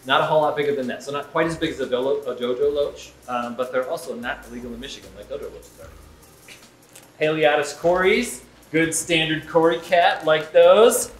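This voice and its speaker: A man's voice: a little high, normal speed, echoey room, faint music behind. A man giving casual, chatty and enthusiastic commentary as host of a shop's unboxing video. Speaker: aquarium shop host. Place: indoors in an aquarium shop.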